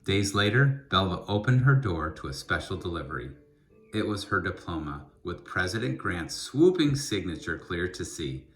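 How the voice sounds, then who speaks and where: Deep voiced guy reading a kids book out loud, deliberate n emphatic, soft music behind him. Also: big pitch swings. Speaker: school principal reading a children's book aloud. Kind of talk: expressive children's storybook read-aloud.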